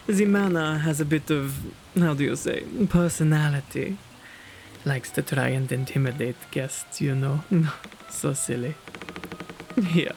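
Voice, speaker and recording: A man's voice: a little high, darkish tone. A man playing a kind, genteel vampire host in an ASMR roleplay, with doting, courteous, old-fashioned phrasing. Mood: bashful, amused, then concerned, welcoming.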